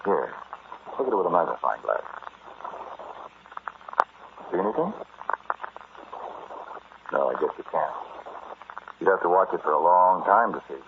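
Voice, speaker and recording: Through a muffled, noisy vintage radio recording comes a callous, megalomaniac treasure thief. His voice is very low, and he speaks haltingly and confidingly, like the narrator of an old horror broadcast. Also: expressive, creaky. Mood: proud, fascinated. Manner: halting, intimate, addressing a listener directly. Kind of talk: old-time radio horror acting, first-person narration.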